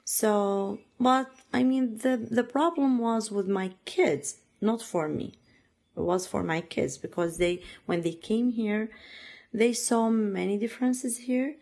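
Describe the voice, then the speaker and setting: Slow, halting woman from Iraq. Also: on the high side, fairly even intonation, darkish tone. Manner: halting, many fillers and restarts, second-language phrasing. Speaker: interviewee. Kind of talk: personal story, casual.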